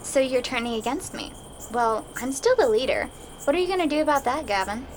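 A woman's voice: sits high, very animated, a little fast, slight background noise. A young woman playing a scheming, bossy student rebel leader in an audio drama. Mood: commanding.

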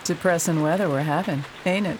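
A woman's voice: lowish voice, brisk, natural voice. A woman playing a brash, slangy, streetwise good-time girl in trouble, in a period radio drama. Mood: wry, uneasy. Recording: faint rain-like background noise.

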